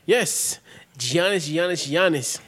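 A man's voice: a little high, expressive, slow, fairly bright tone. A man in his thirties co-hosting a casual sports talk show, talking in loose slang and laughing often. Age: 30s. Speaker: podcast co-host.

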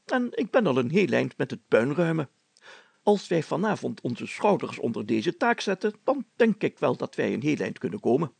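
Man playing a pompous gravelly village mayor, excitable. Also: a little high, normal speed, dry recording, heavily acted. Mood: eager, confiding. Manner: pompous, exclamatory, chatty.

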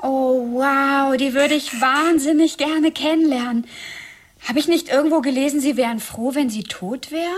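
A woman's voice: high-pitched, moderate pace, close to an everyday voice. An actress playing a girlish young killer in a radio drama, naive, breezy and eager, cheerfully unhinged. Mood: starstruck.

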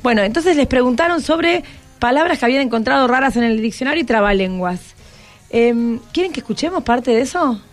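Female Argentine radio host, fairly high voice, encouraging casual interviewer, light music underneath. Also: expressive, brisk. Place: radio broadcast.

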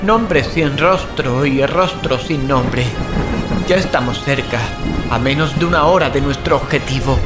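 A man's voice: mid-range voice, natural voice. An actor narrating audio fiction as a solemn, haunted male survivor of catastrophe. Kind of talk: dramatic first-person audio fiction narration. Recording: loud dramatic music behind. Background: dramatic music.

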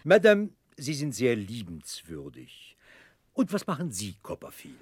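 A grandiose, genteel debtor in a radio play. His husky voice rises and falls theatrically through ornate phrases, with pompous hesitations. The recording is dry and close. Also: medium pitch, huge pitch swings, unhurried, darkish tone, no room sound, full character voice. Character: pompous, florid, genteel middle-aged debtor gentleman, grandly self-important. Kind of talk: German radio play acting.

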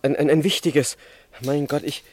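An actor playing an anguished young revolutionary who poses as a secretary, urgent and halting, in a German radio drama. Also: male voice, fairly high pitch, fairly even intonation. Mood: desperate, agitated. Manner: urgent, halting, repeating himself when agitated.

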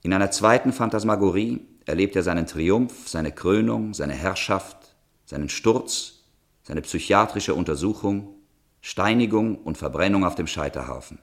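Deep-voiced male radio play announcer. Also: moderate pace. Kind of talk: scripted narration, radio play scene summaries.

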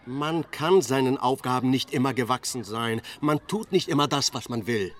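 A man's voice: mid-range voice, normal speed, close to an everyday voice. An actor playing a defiant, aggrieved married man in a radio drama, speaking with insistence. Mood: indignant.

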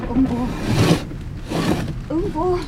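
An actress in a radio drama playing an anxious, caring older sister with a guilty streak, speaking naturalistically and intimately. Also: female voice, sits high, slow pace, background noise. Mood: nervous. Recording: music behind the voice. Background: music.

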